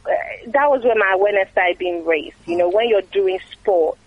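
A woman's voice: medium pitch, moderate pace, dry recording, narrow, tinny audio. A woman from Nigeria, a guest in an interview, talking casually and chattily with frequent fillers and repeated words.

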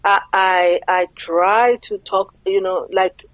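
A woman's voice: medium pitch, fairly even intonation, slow pace, dry recording. Woman, Nigerian accent, middle-aged, earnest, rhetorical questions, muffled lo-fi recording. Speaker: interview guest. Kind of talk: conversational interview.

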